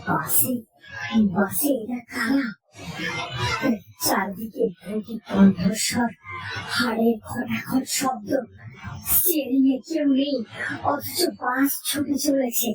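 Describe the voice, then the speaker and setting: A woman narrating a horror story in the first person, in a suspenseful, theatrical way with measured phrases. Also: on the high side, a crowd in the background. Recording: echoey, distant, music underneath.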